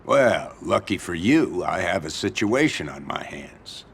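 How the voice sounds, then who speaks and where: Tough union boss, male, deep, gravelly, guarded, sly, streetwise, video game drama. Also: sing-song, a little slow, full character voice. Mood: sly, bargaining. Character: wary, crooked union boss, tough bargainer. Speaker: video game character actor. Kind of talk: cinematic crime drama acting.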